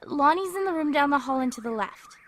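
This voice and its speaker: A woman's voice: sits high, lively intonation, a little fast, slight background noise. A woman acting a polite, jumpy young survivor in an audio drama, speaking hesitantly. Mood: amused, helpful. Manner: hesitant, nervous.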